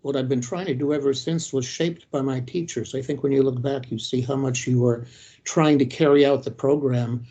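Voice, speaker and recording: An elderly man in his eighties delivers a reflective academic lecture. He speaks in a measured, unhurried way, often pausing on "uh" while he gathers his thoughts, at an ordinary pitch for a man. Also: fairly even intonation, warm tone, breathy edge, highs cut off. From Detroit, United States. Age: 80s. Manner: measured, halting, with frequent "uh" pauses.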